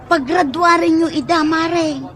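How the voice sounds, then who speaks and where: A chatty gossiping neighbor. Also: female voice, extremely high pitch, fairly even intonation, measured pace, crowd noise. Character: friendly gossiping woman neighbor.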